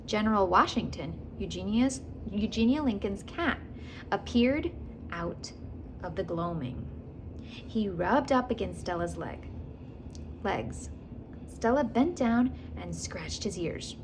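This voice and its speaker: A woman's voice: medium pitch, takes it slowly, noisy background. A woman reading a storybook aloud as host, expressively, with asides to the listener. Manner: expressive, acts out characters. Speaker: host of a children's read-aloud.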